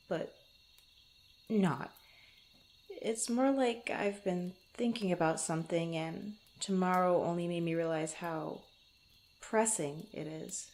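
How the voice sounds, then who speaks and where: Casting: actress as young female rogue adventurer, unhurried, slightly creaky voice, teasing yet easily flustered, intimate fantasy audio roleplay. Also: fairly low pitch, darkish tone. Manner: hesitant, stammering, playful banter. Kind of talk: intimate ASMR roleplay, one-sided conversation.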